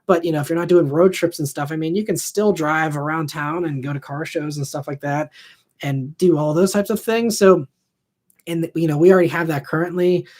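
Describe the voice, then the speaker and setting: Man, 30s, live-stream host, casual, rambling, filler-heavy, a bit higher pitched. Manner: rambling, heavy on fillers like "you know" and "I mean". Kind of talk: live stream chat answering viewer questions.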